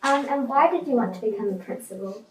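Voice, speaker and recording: Soft-spoken New girl, echoey and distant. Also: a little high, lively intonation, fast talker, soft background music. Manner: polite and hesitant, starting with um. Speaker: student interviewer. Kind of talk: reading prepared interview questions. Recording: roomy sound from far off the mic.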